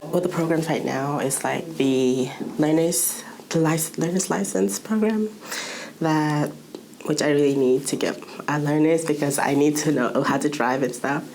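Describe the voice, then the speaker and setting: A woman's voice: deep voice, a little slow, darkish tone, slight background noise. A young woman from Malaysia giving a casual personal account, hesitantly searching for her words. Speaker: youth programme participant.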